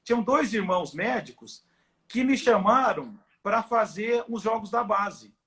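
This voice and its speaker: A man's voice: high voice, animated, measured pace, bright, forceful tone. A middle-aged man with a São Paulo countryside Brazilian accent, chatting in a friendly, informal way as an interview guest. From Ribeirão Preto, São Paulo, Brazil. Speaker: guest, football club doctor. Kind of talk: casual interview chat. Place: at home on a video call.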